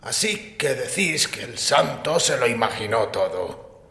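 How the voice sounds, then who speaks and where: A man acting in a Spanish audio drama as a devout, stubborn priest, earnest and solemn, with a gravelly voice. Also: mid-range voice, expressive, unhurried, bright, forceful tone. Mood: defiant, earnest. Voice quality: creaky, gravelly. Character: devout, defensive male priest, stubborn believer. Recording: echoey hall with long reverb.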